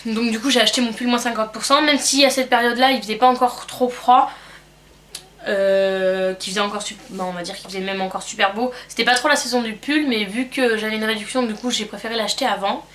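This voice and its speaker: Bright-voiced young woman with a cold. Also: on the high side, steady tone, a little fast. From France. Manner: rambling, hesitant with many fillers. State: has a cold. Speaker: beauty vlogger. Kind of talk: casual chat answering a tag.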